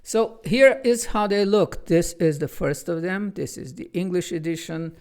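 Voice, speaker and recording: A man's voice: high-pitched, a little slow, a little mellow, clean tone. A man from Bulgaria with a Bulgarian accent, chatting earnestly and then reading his own children's book aloud. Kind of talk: chatty intro, then storybook read-aloud.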